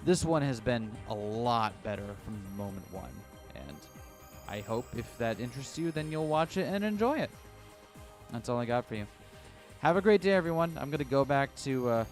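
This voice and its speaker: Slightly raspy male streamer reading aloud. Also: mid-range voice, animated, normal speed, soft background music. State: voice getting a little raspy. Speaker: game streamer. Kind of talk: reading a visual novel aloud and chatting on stream. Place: hot room at home.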